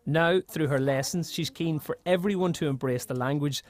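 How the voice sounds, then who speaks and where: A man with a Northern Irish accent narrating and interviewing for a television feature in a clear, measured reporter's delivery. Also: on the high side. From Northern Ireland.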